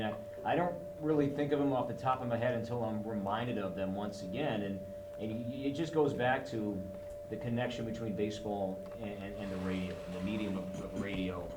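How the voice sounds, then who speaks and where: Radio announcer reminiscing, low-ish voice, roomy echo, audible hum. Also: male voice. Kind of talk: press conference anecdote. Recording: echoey room with humming.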